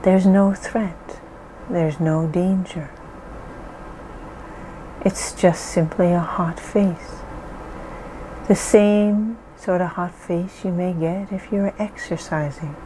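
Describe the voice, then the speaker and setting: Woman, guided-meditation guide: very slow, mellow, reassuring, faint hiss behind. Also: lowish voice, fairly even intonation, poor audio. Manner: soothing, reassuring, deliberate pauses.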